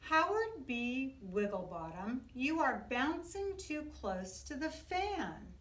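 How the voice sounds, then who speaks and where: A woman's voice: high voice, very animated, slow, full character voice. A woman reading a storybook, voicing insistent grown-ups and schoolmates who call out emphatic warnings and scoldings. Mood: exasperated scolding. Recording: echoey room, distant from mic.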